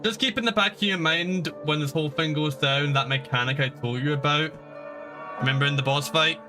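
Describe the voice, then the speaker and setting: A man's voice: fairly high pitch, a little fast, over music. A man chatting casually and informally as he streams a game and talks to his viewers. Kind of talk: casual live stream commentary.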